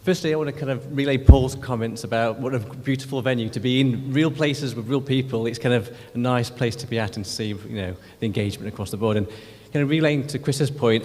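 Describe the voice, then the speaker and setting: A man speaks fast and conversationally at an event, often slipping in "kind of". His voice has a middle pitch. A long reverb from the hall follows his words. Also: darkish tone. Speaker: company vice president. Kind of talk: speech at an event.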